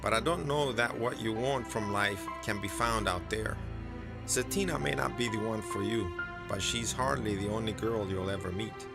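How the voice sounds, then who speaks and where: A man playing a kindly middle-aged blacksmith mentor in an animated drama, gentle, earnest and gravelly. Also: fairly low pitch. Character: kindly older male blacksmith, fatherly mentor. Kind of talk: dramatic animated series acting. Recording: voice over clear background music.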